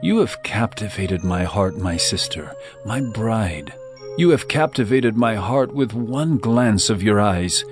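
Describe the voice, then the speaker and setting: An actor playing an adoring royal bridegroom in a dramatized scripture reading, with a measured, tender and lyrical delivery. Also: male voice, lowish voice.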